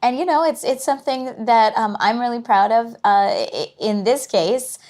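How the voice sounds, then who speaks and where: A woman's voice: fairly high pitch, moderate pace, darkish tone. A middle-aged woman speaking as an interview guest, reflective and conversational, often trailing off into "you know" as she thinks. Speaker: guest, museum curator.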